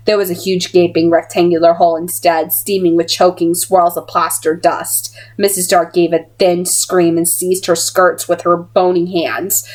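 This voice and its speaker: A woman reading fiction aloud as an amateur audiobook narrator, in an expressive storytelling style. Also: lowish voice.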